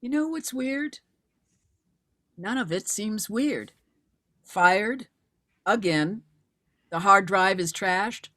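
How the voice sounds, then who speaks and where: A woman's voice: mid-range voice, expressive, takes it slowly, pauses often, bright, forceful tone. A woman in a screenplay table read, playing a stubborn, terse widowed mother turned amateur investigator. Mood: agitated, despairing.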